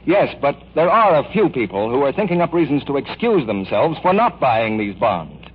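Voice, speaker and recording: A man's voice: medium pitch, very animated, normal speed. A man working as a radio announcer, reading a scripted 1940s public appeal. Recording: muffled, hissy old radio broadcast.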